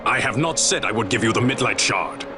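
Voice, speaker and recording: An actor playing a worldly, wary adventurer in a fantasy game, cool and eloquent with archaic phrasing. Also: male voice, lowish voice, lively intonation, a little fast, over music, natural voice. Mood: challenging. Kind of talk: fantasy game cutscene acting.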